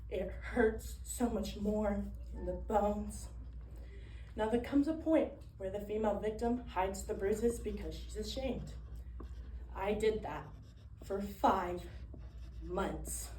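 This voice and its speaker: A woman's voice: mid-range voice, warm tone, close to an everyday voice. Woman acting a subdued, wounded young woman, echoey room. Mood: subdued, pained. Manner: subdued, deliberate, confiding. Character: young woman recounting an abusive boyfriend, wounded, plain-spoken. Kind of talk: dramatic stage monologue. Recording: echoey room, distant microphone, faint noise.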